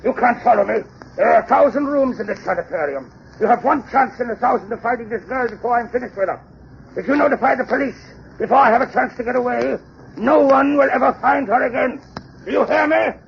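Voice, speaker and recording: A villainous, cold-blooded doctor in an old radio melodrama. His taunting voice has a creaky, gravelly edge. The sound is muffled, with clear hiss and noise behind it. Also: extremely high pitch, brisk. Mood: agitated threats. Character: villainous doctor, boastful, cold, murderous male scientist. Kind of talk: 1930s radio drama acting. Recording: muffled, noisy 1930s radio recording.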